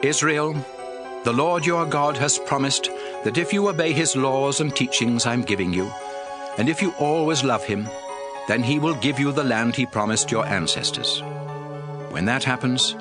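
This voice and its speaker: An actor playing a solemn, commanding lawgiver in a dramatized audio Bible, speaking in an emphatic, oratorical way. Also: male voice, medium pitch, normal speed, close to an everyday voice. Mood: stern, commanding. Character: solemn, commanding male lawgiver addressing his people. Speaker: scripture narrator playing a prophet and lawgiver. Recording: music bed under the voice. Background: music.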